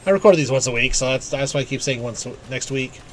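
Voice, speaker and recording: A man's voice: mid-range voice, quick pace, slight background noise. A man giving casual let's-play commentary as a game streamer.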